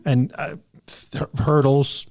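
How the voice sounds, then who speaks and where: Mellow-voiced guy hosting a podcast, rambly, keeps restarting, says you know a lot. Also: mid-range voice, level tone, slow. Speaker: podcast host. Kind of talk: casual interview chat.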